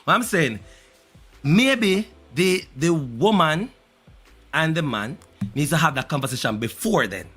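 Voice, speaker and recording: A man's voice: fairly high pitch, very animated, measured pace, long pauses, fairly bright tone, breathy edge, soft background music. A Jamaican man hosting a heated online panel debate, repeating himself and pressing his point. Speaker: host of a panel discussion.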